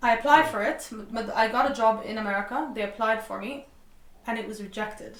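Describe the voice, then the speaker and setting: A woman in her twenties, a podcast guest, telling her story in a quick, animated, chatty way. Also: a little high. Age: 20s. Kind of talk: casual conversational storytelling. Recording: echoey room, mic at a distance, faint noise.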